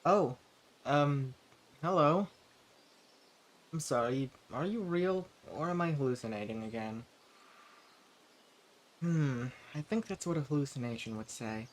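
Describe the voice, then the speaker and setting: A man's voice: fairly high pitch, measured pace, pauses often, slight background noise, natural voice. A man playing an eccentric, sweet-talking old vampire. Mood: surprised, curious. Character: centuries-old hermit male vampire, eccentric, sweet-talking, quietly menacing. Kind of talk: scripted roleplay audio drama, addressing the listener.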